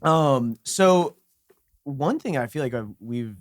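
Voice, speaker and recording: Male podcast host, easygoing, mid-pitched, dry studio mic. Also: lively intonation, slow pace, no room sound. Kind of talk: casual podcast interview. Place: music studio room.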